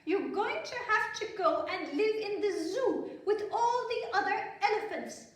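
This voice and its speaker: A woman voicing a fussy, theatrically shocked queen mother with drawn-out exclamations, in a children's story. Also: extremely high pitch, animated, voice pushed up. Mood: dismayed. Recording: very echoey room, distant microphone.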